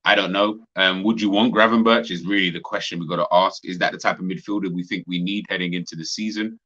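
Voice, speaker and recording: A young man, co-hosting a casual football chat, slangy and animated with frequent laughter. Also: low-pitched, quick pace, muffled recording. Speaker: podcast co-host.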